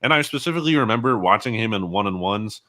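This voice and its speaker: A man's voice: fairly low pitch, lively intonation, fast, sharp tone. A man giving conversational, opinionated sports commentary as an interview guest, often qualifying his points. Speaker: guest football analyst.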